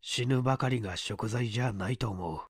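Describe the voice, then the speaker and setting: A narrator voicing a resolute, composed man who speaks in quiet, firm commands. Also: mid-range voice, brisk, slightly rough, no echo, clean studio sound, voice pushed down, lightly acted. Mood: grave, firm. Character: resolute, composed male detective-like hero.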